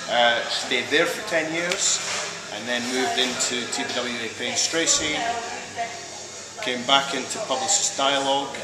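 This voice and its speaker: Scottish guy in his 50s, lots of uhs and ums, mid pitch, echoey room. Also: measured pace. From Scotland. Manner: hesitant, many fillers, chatty. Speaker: interviewee, creative director. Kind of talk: casual interview.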